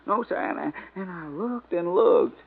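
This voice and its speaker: An actor playing a nervous, deferential ex-convict servant, hesitant and folksy, in a 1950s radio drama. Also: male voice, high-pitched, expressive, moderate pace, creaky, narrow, tinny audio, heavily acted. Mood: earnest, anxious. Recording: band-limited old 1950s radio recording.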